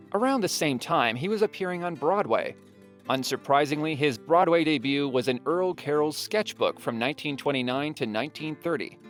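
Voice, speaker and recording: Male narrator, scripted documentary read, mid-pitched, wry, playful, soft music bed underneath.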